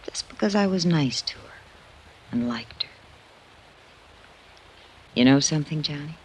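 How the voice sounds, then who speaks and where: Tender-hearted, emotional radio heroine. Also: female voice, low-pitched, expressive, measured pace, a little mellow, slight background noise. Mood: tender, wistful. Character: tender-hearted, ingenuous woman, loyal and easily moved. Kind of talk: 1950s radio drama acting. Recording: vintage radio drama recording.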